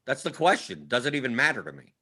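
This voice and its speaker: A man's voice: medium pitch, sing-song, fast talker, over a noisy crowd. A man in his early forties hosting a casual livestream sports show, repeating himself and tagging sentences with "right?" in a self-deprecating, complaining way. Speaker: show host. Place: indoors at a computer on a split-screen video call.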